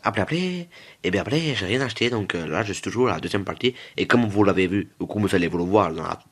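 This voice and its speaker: A man's voice: on the low side, lively intonation, quick pace, husky. A man hosts a gaming video. He talks casually, circling back over his own words and wandering off into joking asides with the viewers. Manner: repeats himself and digresses, joking with viewers. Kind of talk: casual, rambling gameplay commentary.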